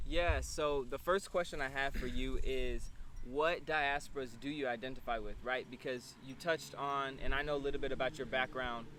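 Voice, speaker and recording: A man's voice: mid-range voice, steady tone, moderate pace, fairly bright tone. A man, hosting a casual interview. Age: 20s. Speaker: interview host. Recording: clear background noise.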